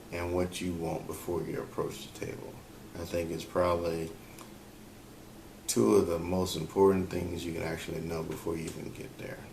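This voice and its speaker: A man's voice: low-pitched, gravelly. A man hosting a solo talk show, giving relationship advice off the cuff and thinking aloud with frequent fillers. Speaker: podcast host. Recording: echoey room with faint ambient noise.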